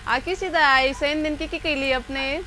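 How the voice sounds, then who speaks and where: A woman's voice: high voice, steady tone, normal speed, bright, forceful tone, rough recording. A woman with a cold hosting a live call-in show, chatting with callers. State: congested from a cold. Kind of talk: live phone-in chat with callers.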